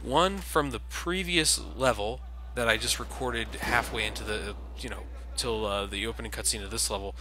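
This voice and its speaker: A man's voice: fairly low pitch, moderate pace, hardly any pauses, noisy background. A man giving casual, sardonic and complaining commentary over video game footage, with some swearing. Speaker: let's play commentator. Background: video game sounds.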